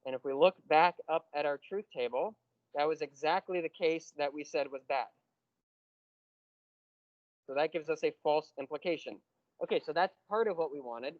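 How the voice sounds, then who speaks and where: Man lecturing, patient, slightly high voice, dry dull lo-fi sound. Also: no room sound. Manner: patient, explains step by step, slows on key terms. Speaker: math lecturer. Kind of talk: classroom lecture.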